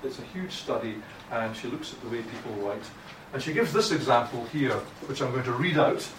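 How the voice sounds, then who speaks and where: A man's voice: medium pitch, fairly quick. Scottish male lecturer, blunt, informal, lots of restarts; distant mic, room echo. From Scotland. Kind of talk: academic writing lecture. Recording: echoey room from far off, noisy.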